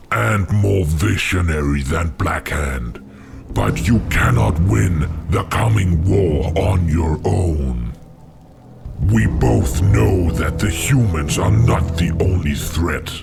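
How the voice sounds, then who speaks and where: A man playing a scheming, servile orc warlock in a fantasy game, with a gravelly voice and deliberate, obsequious delivery over music. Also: sits low, heavily acted. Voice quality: gravelly, creaking. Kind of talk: dramatic fantasy game acting. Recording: loud music under the voice.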